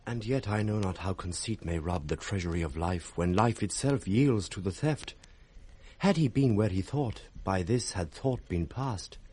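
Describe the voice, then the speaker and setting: A man's voice: on the low side, normal speed, warm tone, slightly rough. An actor playing a loyal, urgent young nobleman in disguise, speaking vivid theatrical verse with a British accent in 1960s radio Shakespeare. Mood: anxious concern.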